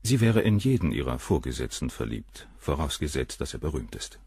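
An actor playing a cold, condescending, articulate professor with a husky voice in a radio crime drama. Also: male voice, rumbling low, big pitch swings, a little fast, a little mellow, no echo, heavily acted. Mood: disdainful. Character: cold, haughty, gaunt male professor, a famous doctor.

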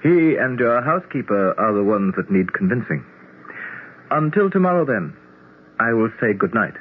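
An actor in an old-time radio drama playing a solemn, courtly alien prince, formal and measured. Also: male voice, medium pitch, very animated, lightly acted. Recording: tinny, faintly noisy vintage radio broadcast.

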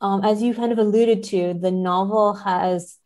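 A woman's voice: medium pitch, steady tone, unhurried, darkish tone. A woman speaking as an interview guest, thoughtfully explaining things in an analytical way. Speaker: podcast guest, literature scholar.

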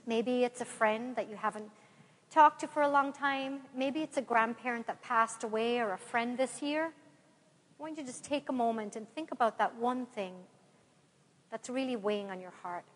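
An Irish woman in her forties addresses a congregation in a large church, her slightly high voice carrying with a long reverb. She is recorded from a camera out in the pews. She speaks in a lively, friendly way, then slows down to read a prayer. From Ireland. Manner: lively, warm address to a mixed-age congregation, then slow measured prayer reading. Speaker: retreat speaker. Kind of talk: parish talk and led prayer. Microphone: far camera in the pews.

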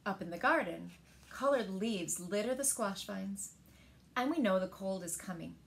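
A woman in her thirties reads picture books aloud to young children with expressive, deliberate phrasing, recorded indoors in a living room. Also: mid-range voice, vocal fry, a little hiss or hum. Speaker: storyteller at a library story time.

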